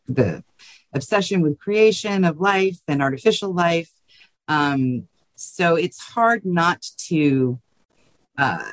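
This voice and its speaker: Low-pitched woman, dry interview sound. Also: dry recording. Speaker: writer, podcast guest. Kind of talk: casual interview talk.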